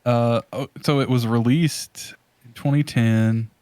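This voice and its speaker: A man's voice: mid-range voice, fairly even intonation, slow pace, mellow, clean tone, no echo. A man co-hosting a podcast, chatting informally and expressively. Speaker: podcast co-host. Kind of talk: casual podcast chat.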